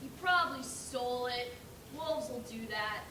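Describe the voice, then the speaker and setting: Girlish actress as a piercingly bright pig juror. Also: a little high, a little slow. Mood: skeptical. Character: know-it-all young female pig juror. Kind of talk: amateur stage play acting. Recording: distant echoey stage recording, faint noise.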